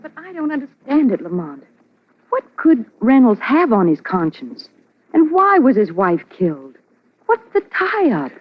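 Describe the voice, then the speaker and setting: A woman's voice: fairly high pitch, sing-song, unhurried. A woman playing a concerned, inquisitive companion to a detective, earnest and questioning, in 1940s radio style. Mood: puzzled. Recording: dull old radio recording.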